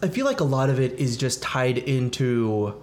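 A man's voice: mid-range voice, big pitch swings, moderate pace, darkish tone. A man born in Taiwan, a podcast guest talking casually with plenty of fillers and restarts. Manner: chatty, lots of fillers and restarts. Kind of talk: casual chat.

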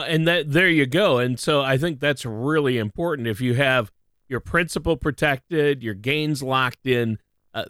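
A man's voice: medium pitch, a bit bright, no echo. Male radio host for a finance program, conversational, mid-range voice, dry recording.

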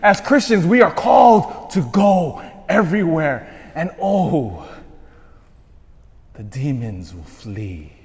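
A man in his forties, a parish priest giving a sermon, swinging from shouted emphasis to quiet asides. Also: a little high, big pitch swings, takes it slowly. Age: 40s. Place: church. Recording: long church reverb.

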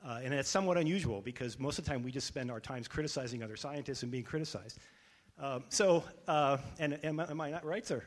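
A man's voice: medium pitch, quick pace. A man introducing himself on a panel, rambling through frequent restarts.

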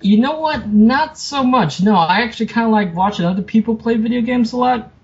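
A man's voice: extremely high pitch, a little fast. A man as a podcast guest, talking in a rambling, hesitant way with many fillers and easy laughs. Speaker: guest, writer. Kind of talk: casual interview. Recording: dull lo-fi remote audio.

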